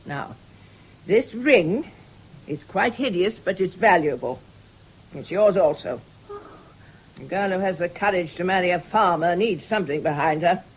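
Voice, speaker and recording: An actress playing an imperious, sharp-tongued but generous older mistress in a 1970s radio drama, with brusque, commanding speech. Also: female voice, on the low side, expressive, a little slow, pauses often, slightly rough, low-quality recording. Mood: brisk, bossy.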